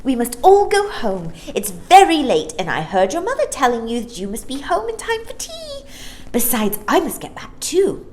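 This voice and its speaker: Storybook star-child, acted by a woman: girlish, high, swooping, sweet and emphatic. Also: huge pitch swings, normal speed, a little mellow, a little hiss or hum. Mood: urgent. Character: Maya, young bright star-girl visitor, sweet and eager.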